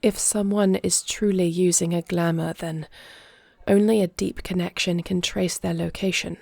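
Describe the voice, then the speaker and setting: A woman playing a commanding but worried dragon queen in an audio roleplay, formal and measured. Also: fairly low pitch, steady tone, vocal fry, a little mellow, no room sound. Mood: grave. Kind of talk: audio roleplay drama acting.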